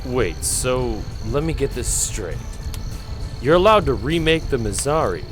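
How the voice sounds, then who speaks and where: A man acting a defiant, animated young fighter. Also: medium pitch, unhurried. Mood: incredulous, defiant. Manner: challenging, emphatic. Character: defiant, incredulous male clan fighter. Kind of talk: scripted visual novel voice acting. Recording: music behind the voice.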